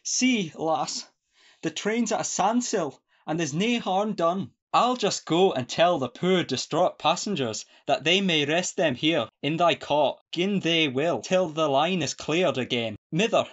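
A man's voice: fairly high pitch, measured pace. A man acting a cheerful, sly young Scottish country lad in broad dialect for a farcical radio play. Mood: cheerful, reassuring. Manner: folksy, earnest, broad dialect. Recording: dull lo-fi home recording.

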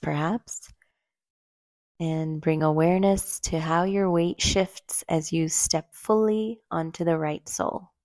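A woman leading a guided meditation in a gentle, soothing, unhurried way, leaving long pauses between short phrases. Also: low voice, no room sound, studio quality. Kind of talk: slow guided meditation narration.

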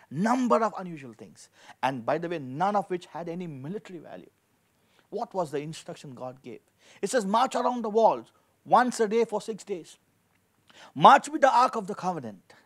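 A middle-aged man preaching a sermon as a pastor, emphatic, repeating key phrases and asking rhetorical questions. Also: high voice. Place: indoor studio with dark backdrop, at a lectern. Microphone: handheld microphone.

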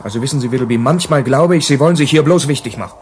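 A man's voice: medium pitch, very fast, a little hiss or hum, close to an everyday voice. An actor playing an impatient, sceptical young male manager in a sci-fi radio play, questioning and pressing.

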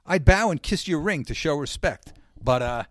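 A man's voice: fairly high pitch, animated, normal speed, no room sound, studio quality. A man hosting a scripted comedy interview, throwing out wisecracks and sarcastic questions. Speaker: host.

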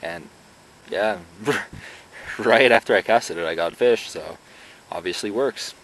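A man's voice: on the low side, takes it slowly, a bit bright, low-quality recording. Young guy rambling on while fishing outside, camera at arm's length, faint outdoor hum. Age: young adult. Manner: chatty, rambling asides. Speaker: vlogger. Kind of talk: casual fishing vlog. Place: outdoors by a pond. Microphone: camera held at arm's length. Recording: faint outdoor background noise.

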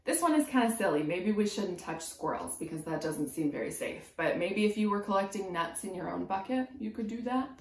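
A woman's voice: mid-range voice, expressive. Young woman reading storytime in a roomy space. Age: 20s. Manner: simple, encouraging, teacherly phrasing with pauses. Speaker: preschool teacher reading a storybook. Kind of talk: children's storytime read-aloud with questions to young listeners. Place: small room at home, indoors. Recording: roomy indoor echo.

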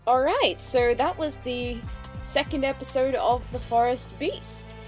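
A woman's voice: high voice, a little slow, a little mellow. A woman hosting a reaction video, commenting casually as she goes. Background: background music.

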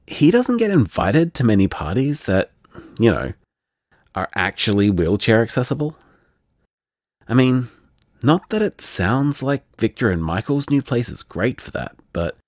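A man's voice: on the low side, darkish tone, no room sound, clean studio sound, heavily acted. An actor voicing a shy, affectionate young man who leaves rambling, self-deprecating voicemails, tipsy in parts of the scene. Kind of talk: audio drama voicemail monologue.

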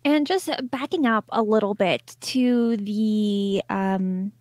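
A woman in her thirties hosting an interview, asking questions in a thoughtful, halting way. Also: medium pitch, darkish tone, no echo. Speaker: host, interviewer. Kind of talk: conversational interviewing. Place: indoor room, video call.

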